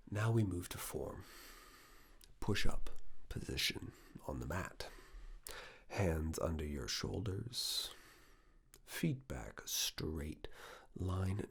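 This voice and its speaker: A man in an ASMR roleplay playing a stern, controlling yet reassuring fitness assessor, giving hushed, clipped commands in a breathy, gravelly voice that often slips into whispers. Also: low voice, very animated, drawn-out words, long pauses, heavily acted. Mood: clipped, authoritative. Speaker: ASMR performer. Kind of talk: ASMR personal attention roleplay.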